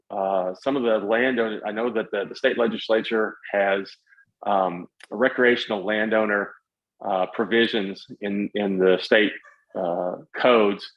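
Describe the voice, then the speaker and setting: A middle-aged man with a low voice talks as an interview guest. He explains at length in a halting, conversational way, feeling for the next phrase. The sound is dull and lo-fi. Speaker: guest, paraglider pilot and retired air traffic controller. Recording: dull, lo-fi voice audio.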